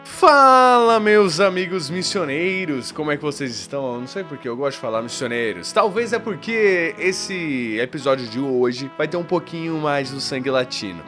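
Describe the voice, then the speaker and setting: A man's voice: a little high, expressive, moderate pace, hardly any pauses, music in the background. A man hosting a podcast, introducing his guest in an upbeat, playful way. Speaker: podcast host.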